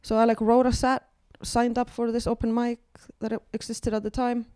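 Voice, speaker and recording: A woman's voice: on the high side, little pitch movement, fairly quick, warm tone, smooth, dry recording, clean studio sound. A woman with an Icelandic accent, a guest comedian telling wry, self-deprecating stories in casual podcast chat, with many fillers.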